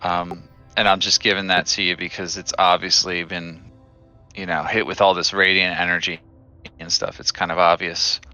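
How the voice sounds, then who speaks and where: Male game master, chatty and relaxed, very bright lowish voice, faint music behind. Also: normal speed, highs cut off. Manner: relaxed, chatty, many fillers and restarts. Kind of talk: narrating a tabletop game.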